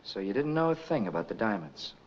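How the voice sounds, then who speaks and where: An actor playing a smooth, cold-blooded crook with tough, slangy talk in 1940s film style, on a muffled old soundtrack. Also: male voice, fairly low pitch, sing-song, fairly quick, a little hiss or hum. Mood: coolly menacing.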